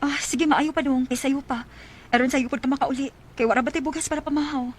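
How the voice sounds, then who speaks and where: A woman's voice: high voice, fairly even intonation, fast talker, slight background noise, close to an everyday voice. A woman playing a poor, overburdened mother fed up with her irresponsible husband, acting in a Filipino radio drama with a Cebuano accent. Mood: worried, tender.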